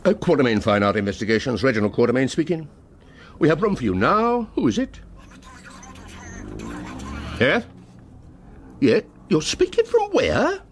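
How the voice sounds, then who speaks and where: A man's voice: medium pitch, wildly swooping pitch, moderate pace, pauses often, slight background noise. An actor playing a grandiose detective inspector who is down on his luck, pompous and wheedling, in a radio comedy drama. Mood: excited, self-important. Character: grandiose, broke art-fraud detective inspector boss.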